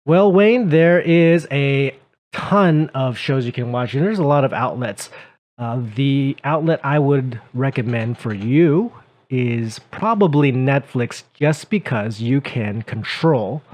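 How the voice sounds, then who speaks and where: A man's voice: mid-range voice, slow, darkish tone. A man co-hosting a radio show, chatting casually with frequent fillers as he gives tips. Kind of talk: casual radio chat giving English-learning tips. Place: radio studio.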